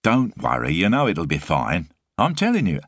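A man's voice: low voice, huge pitch swings, normal speed, no echo, studio quality, exaggerated voice. A man voicing a scruffy, hungover, cheeky detective. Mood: grumbling. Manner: cheeky, grumbling.

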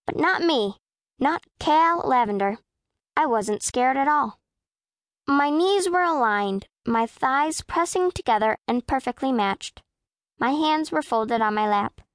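A woman's voice: sits high, lively intonation, a little slow, dry recording, studio quality. A woman voicing a proud, self-possessed eleven-year-old girl who narrates a children's audiobook in a precise, composed, matter-of-fact way. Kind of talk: first-person audiobook narration of children's fiction.

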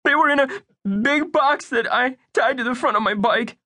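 A woman voicing a worried, whiny young boy telling about his lost cookies. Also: bright, forceful tone. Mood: upset. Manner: high, pleading, rushed. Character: anxious young boy client, whiny and upset.

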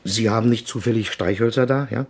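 A man's voice: on the low side, brisk, heavy background noise, close to an everyday voice. An actor playing a humble, fretful night watchman with a homely, polite way of talking, in a radio play. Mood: plaintive. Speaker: actor in a crime radio drama. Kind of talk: German crime radio play acting.

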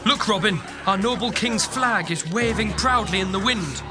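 A man's voice: high-pitched, normal speed, lightly acted. A man acting an anxious, loyal crusader comrade in a children's audio drama, earnest and theatrical. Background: music.

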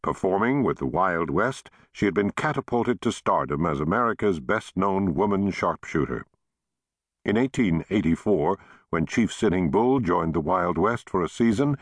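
Male audiobook narrator, very deep voice, lively varied pitch, measured and expressive delivery, dry studio sound. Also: husky, dry recording. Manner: measured, expressive storytelling reading.

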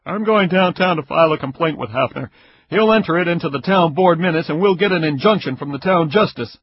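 A stern, curt police investigator, as a male storyteller plays him, speaking with a husky edge and firm emphasis. The recording sounds dull and lo-fi. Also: fairly high pitch, a little fast, no room sound, voice pushed up.

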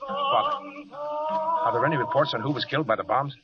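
An actor playing an earnest, smitten young army lieutenant in a vintage radio drama, brisk and direct. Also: male voice, a little high, huge pitch swings, loud music behind the voice. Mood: subdued, wary. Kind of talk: 1930s-1940s radio drama acting. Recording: noisy, muffled old radio broadcast.

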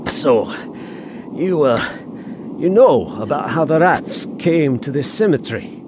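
An actor playing a wry, superstitious gravedigger's sidekick with an in an audio horror drama. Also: male voice, on the high side, lively intonation, unhurried. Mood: joking, chatty. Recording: clear background ambience noise.

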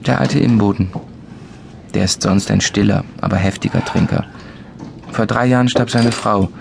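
An actor playing a dry, wry village narrator in a radio play, delivering short list-like phrases deadpan. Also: male voice, fairly low pitch, moderate pace, gravelly, slight background noise. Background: loud music.

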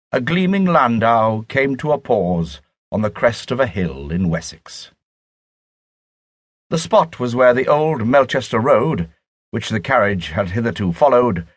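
A man narrates a classic novel in a husky voice. His pitch moves widely through each sentence, and the recording is close and dry. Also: fairly bright tone, no room sound. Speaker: audiobook narrator. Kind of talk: scripted literary narration.

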